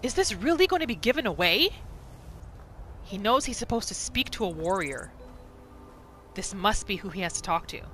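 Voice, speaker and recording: A woman gaming host narrating a roleplay playthrough in a casual, expressive, playful storytelling style. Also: mid-range voice, moderate pace, long pauses, slight background noise.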